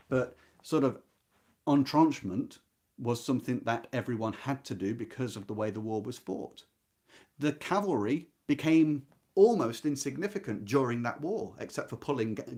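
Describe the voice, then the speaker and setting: Male readalong host, medium pitch, literary lecturer style, engaged and emphatic, given to rhetorical questions. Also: a little mellow. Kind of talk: book discussion with expressive read-aloud passages.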